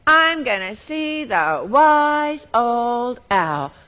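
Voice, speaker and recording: A fed-up frog from a storybook, played by a woman, complains in a fairly high voice. The words are stretched out very slowly and theatrically, with a slightly dull sound. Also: sing-song, heavily acted. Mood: complaining. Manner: drawn-out, exaggerated complaining. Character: frog fed up with its noisy pond.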